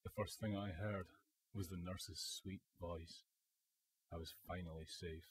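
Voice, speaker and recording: A man's voice: sits low, fairly even intonation, a little slow. A man acting a weary wounded young soldier in a radio drama, sombre and reflective about the war. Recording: faint hiss, student radio drama.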